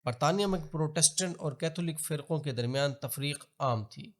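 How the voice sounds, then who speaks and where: A man with a Pakistani accent reads scripted historical narration in a formal, measured, expository manner. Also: on the high side. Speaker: documentary narrator.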